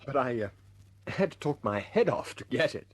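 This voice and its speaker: An actor playing an earnest, good-humoured RAF squadron leader in a vintage radio drama. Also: male voice, mid-range voice, sing-song, moderate pace, husky. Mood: confiding, wry. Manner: hesitant stammers when pressing a point, otherwise commanding.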